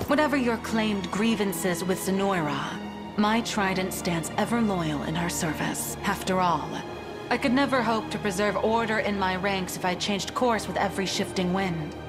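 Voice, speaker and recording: A proud female wyvern rider and commander played by a woman, speaking at a middling pitch. Her delivery is formal, stern and measured in fantasy-game style. Loud music plays under her voice. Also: lightly acted. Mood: defiant, resolute. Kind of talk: dramatic fantasy game voice acting. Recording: loud orchestral music behind.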